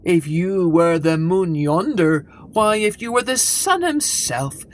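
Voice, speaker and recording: A woman voicing a lofty, condescending male ship in a children's story, speaking in a measured, declaiming way. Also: lowish voice, lively intonation. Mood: amused, condescending.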